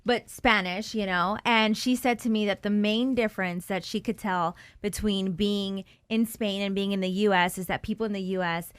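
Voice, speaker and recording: A woman's voice: mid-range voice, fairly even intonation, moderate pace, few pauses, clean tone, no echo. A woman co-host tells a story in a friendly, chatty way on a radio show. Her voice sits mid-range and sounds close and dry in the studio. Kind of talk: casual radio chat.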